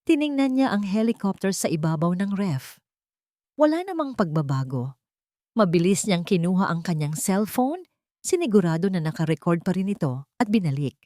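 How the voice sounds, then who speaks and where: Woman, story narrator, scripted dramatic reading, slightly low pitch, dry close sound. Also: sing-song, moderate pace, warm tone, dry recording.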